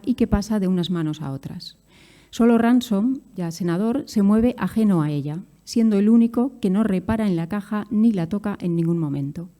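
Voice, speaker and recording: A woman's voice: mid-range voice, warm tone. A woman reads a scripted academic paper at a conference in formal, literary phrasing.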